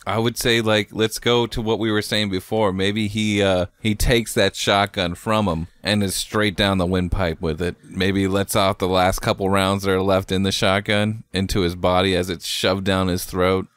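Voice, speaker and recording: A man co-hosting a podcast, casually pitching horror story ideas in a loose, sweary, thinking-aloud way. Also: little pitch movement, moderate pace, few pauses, a bit bright, clean tone, no room sound. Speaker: podcast co-host. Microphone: close podcast microphone.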